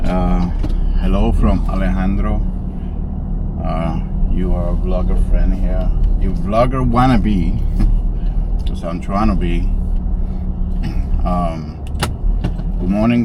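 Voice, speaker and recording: A man from the Republic, talking casually and rambling as he vlogs outdoors on a handheld camera. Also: low voice. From Dominican Republic. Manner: rambling, many fillers and restarts. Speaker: vlogger. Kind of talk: casual walk-and-talk vlog. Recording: loud traffic noise outdoors.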